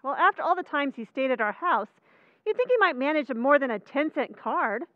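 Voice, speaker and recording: Female actor as wry, busy working-class wife, old-time radio comedy. Very high, animated voice, brisk delivery. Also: dry recording, lo-fi sound. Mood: unimpressed. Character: busy, sharp-tongued but affectionate working-class housewife.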